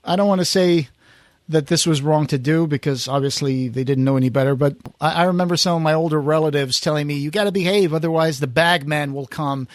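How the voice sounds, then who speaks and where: Male podcast host, slightly high voice, dry close sound, casual storyteller who acts out quoted lines. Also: fairly quick, dry recording. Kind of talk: casual chat.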